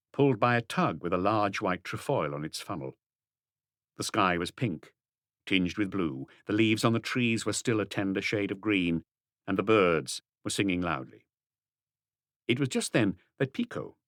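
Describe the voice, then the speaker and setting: Low, expressive male audiobook narrator. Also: dry recording, studio quality. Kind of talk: scripted mystery narration.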